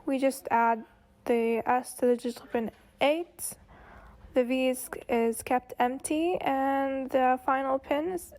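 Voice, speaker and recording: Higher-pitched woman explaining a project. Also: fairly even intonation, slow pace, darkish tone. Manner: informal, hesitant, repeats herself. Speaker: student project presenter.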